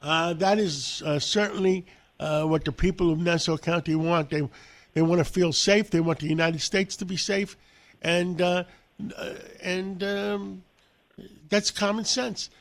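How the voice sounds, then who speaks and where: A man in his seventies hosting an interview, speaking haltingly with many fillers. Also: high voice, steady tone. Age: 70s. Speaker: host. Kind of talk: radio interview.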